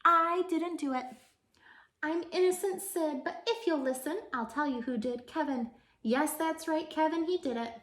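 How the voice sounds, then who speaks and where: Woman voicing an eager, high-pitched little boy. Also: moderate pace, fairly bright tone, reverberant. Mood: protesting innocence. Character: little boy Sid, innocent fibber, eager and excitable.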